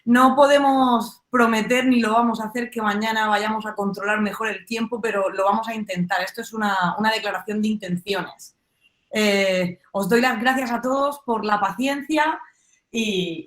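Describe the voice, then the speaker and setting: A woman's voice: mid-range voice, fairly bright tone. A woman from Spain with a Peninsular Spanish accent, moderating an online academic panel, reading questions and closing the session. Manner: friendly, formal wrap-up with fillers. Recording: echoey room audio.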